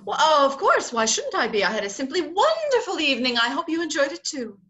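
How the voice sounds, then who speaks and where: A woman's voice: sits high, big pitch swings, fairly quick, sharp tone, full character voice. A woman playing a flustered, haughty society bride in a radio play, theatrical and exclamatory. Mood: flustered, evasive.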